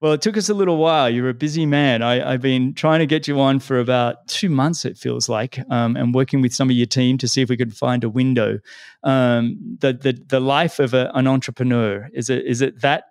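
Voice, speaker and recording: A man's voice: medium pitch, a little fast, smooth, no echo, studio quality. A man hosting his podcast, chatting in a friendly, casual way and often repeating words as he stumbles. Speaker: podcast host. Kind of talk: casual interview chat.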